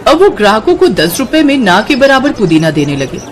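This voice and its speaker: Expressive woman narrating a tale. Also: a little high, brisk, some chatter behind, slight background noise. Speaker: story narrator. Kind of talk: expressive scripted storybook narration.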